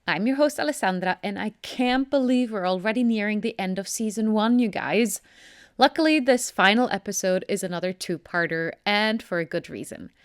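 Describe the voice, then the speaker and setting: A woman's voice: mid-range voice, moderate pace, no room sound, studio quality. A woman hosting a podcast, reading a scripted introduction in an upbeat, enthusiastic way. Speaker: podcast host.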